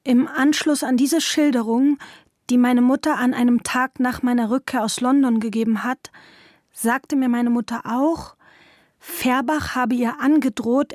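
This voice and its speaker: A sober daughter played by an actress reads out her testimony in a fairly high female voice. Her delivery is formal, precise and factual, in the manner of a radio documentary, and the sound is dry and close. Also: darkish tone, no echo, lightly acted. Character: adult daughter giving a sober witness statement. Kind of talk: scripted documentary reading of testimony.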